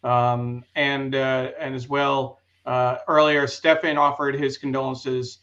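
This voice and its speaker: A man hosting a casual live interview show, speaking in a conversational, halting way. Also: mid-range voice, little pitch movement, fairly bright tone, muffled recording.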